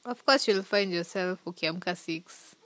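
Relaxed woman. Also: fairly low pitch, measured pace. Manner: relaxed, teasing, laughs softly. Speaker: podcast co-host. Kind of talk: casual chat.

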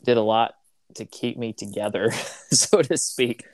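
A man's voice: medium pitch, unhurried, no room sound. A young man who grew up in Tanzania, hosting a casual podcast chat, rambling and acting out little conversations. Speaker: podcast host.

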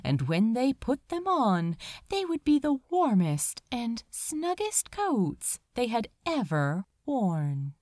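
A woman reading a story aloud as a dramatic, expressive narrator, drawing out words for emphasis. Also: a little high, slow, no echo, studio quality. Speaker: fan fiction reader.

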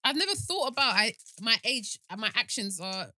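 A young British woman co-hosting a podcast in casual chat, insistent and quick to argue her point. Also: fairly high pitch, sing-song, bright, forceful tone, no echo. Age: young adult. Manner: argumentative, insistent. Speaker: podcast co-host.

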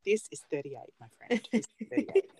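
A woman's voice: mid-range voice, big pitch swings, brisk, warm tone, breathy edge, dry recording. A woman in her late thirties co-hosting a casual podcast from a small cupboard at home, joking and laughing at herself. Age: 30s. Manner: joking, self-mocking, laughs often. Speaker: podcast co-host, nail technician. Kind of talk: casual chat.

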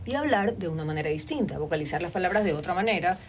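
A woman from Guayaquil, Ecuador, with a Guayaquil accent, speaking as an interview guest and explaining with lively spoken examples. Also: fairly low pitch, rapid-fire, slight background noise. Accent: Guayaquil Spanish. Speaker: guest, voice-over artist and communications consultant. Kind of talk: interview conversation. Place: recording studio. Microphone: portable recorder.

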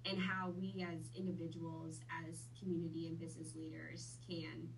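A woman's voice: deep voice, little pitch movement, moderate pace, gravelly, far from the mic, background noise. A woman in her twenties, born in Vietnam, chatting easily as a podcast guest with plenty of fillers. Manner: friendly, easygoing, many fillers. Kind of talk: casual interview chat.

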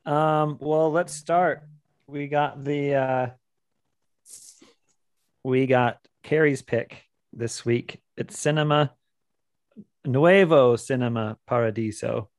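A man's voice: medium pitch, steady tone, slow pace, pauses often, clean tone, no echo. A man hosting a casual movie podcast who leads the talk in an easygoing way. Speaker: podcast host.

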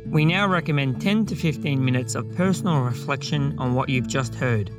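A man's voice: medium pitch, normal speed. Guy doing a scripted announcement for a reflection track, guitar music behind him. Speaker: presenter of a guided reflection recording.

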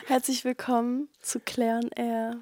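A fairly high-pitched young woman chats as a podcast host, teasing her guest and tossing in English slang. She sounds a little under the weather. Her voice is close and dry, with no room sound. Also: level tone, a little slow, dark tone, no room sound. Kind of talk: casual podcast chat. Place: her bedroom, sitting in bed.

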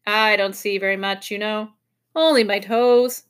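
A woman voicing a silly, vague, mournful male storybook creature with a drawn-out, absent-minded, sing-song delivery. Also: medium pitch, fairly even intonation, a bit bright, clear, resonant tone, lightly acted. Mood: puzzled.

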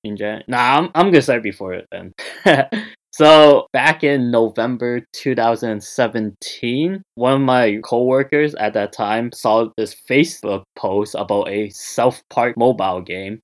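A man's voice: medium pitch, measured pace. A man in his twenties with a Canadian accent, hosting a podcast in a rambling, easygoing way full of filler words. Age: 20s. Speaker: podcast host. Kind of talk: casual chat.

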